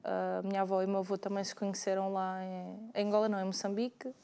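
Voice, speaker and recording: A woman with a Setúbal Portuguese accent, a soft-spoken guest chatting on a talk show and laughing easily. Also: medium pitch, little pitch movement, fast talker, gravelly, clean tone. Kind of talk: casual chat.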